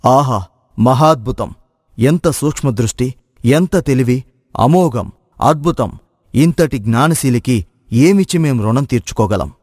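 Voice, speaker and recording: A man acts out a middle-aged king from a moral tale, generous and full of praise. His mid-pitched voice moves in formal, regal phrases. It is recorded dry, with no echo. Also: lively intonation, a little slow, pauses often, a little mellow. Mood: grateful, admiring. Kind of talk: dramatised moral folk tale.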